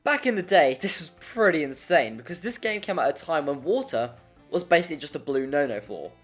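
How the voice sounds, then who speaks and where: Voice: medium pitch, lively intonation, fairly quick. A narrator reading a scripted video essay in an informal, jokey way with rambling casual asides. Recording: music under the voice. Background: background music.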